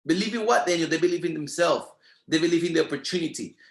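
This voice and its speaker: A man's voice: a little high, quick pace, creaky, roomy sound. A man whose first language is Spanish, speaking with a Spanish accent and giving a motivational training talk in an emphatic, repetitive, preacher-like rhythm. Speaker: network marketing trainer.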